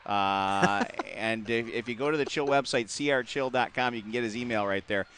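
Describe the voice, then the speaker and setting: A man from the Upper Midwest hosting a local hockey talk show, chatty and quick to laugh, with many filler words. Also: mid-range voice, a bit bright, a little hiss or hum. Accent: Upper Midwest American. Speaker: host. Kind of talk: casual sports talk.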